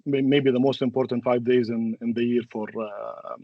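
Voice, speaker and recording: A man's voice: mid-range voice, fairly even intonation, moderate pace, no room sound. Native Arabic-speaking man moderating, slightly muffled. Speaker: webinar moderator. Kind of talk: introducing speakers and housekeeping in a webinar.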